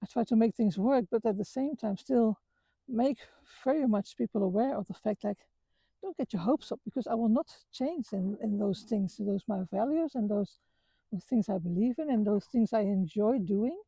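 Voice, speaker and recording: A middle-aged woman from the Netherlands with a Dutch accent, talking earnestly about her life with many halting restarts. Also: medium pitch, animated, vocal fry, dark tone, slightly rough, no room sound, poor audio. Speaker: vlogger. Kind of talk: reflective personal talk. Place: outdoors on a walk.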